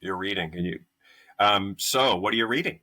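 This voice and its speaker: A man's voice: fairly low pitch, moderate pace, pushed and bright. A man hosting a podcast interview, asking loose, rambling questions full of fillers. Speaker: podcast host.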